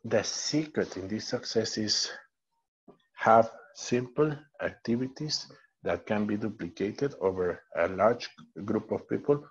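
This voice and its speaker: Hesitant Mexican-accented man, husky and low. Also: lively intonation, a little slow, muffled recording. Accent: Mexican Spanish. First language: Spanish. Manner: hesitant, many fillers and restarts. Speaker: network marketing trainer. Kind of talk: online training presentation.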